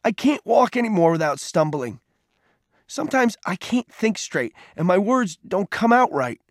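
A man voicing a furious, wounded boy in an audiobook story, bitter and taunting, shouting his accusations. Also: high voice, big pitch swings, moderate pace, no room sound, clean studio sound, voice pushed up. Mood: bitter, hurt.

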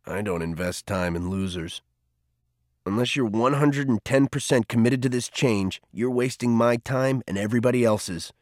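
A man voicing a gruff older male mentor who speaks low, quiet and firm, with a teasing edge. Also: moderate pace, no room sound, studio quality, close to an everyday voice. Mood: stern.

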